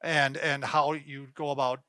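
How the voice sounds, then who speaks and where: A man's voice: on the high side, flat delivery, a little slow, sharp tone, no echo, clean studio sound. A man hosting a physical therapy video show, chatting casually and joking, with loose, rambling sentences. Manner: joking, rambling, restarts often.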